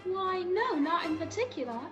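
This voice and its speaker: A woman's voice: very high-pitched, very animated, moderate pace, muffled recording, over music. A woman playing a young cabin boy who narrates his adventure earnestly and dramatically in a radio play. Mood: wary, earnest.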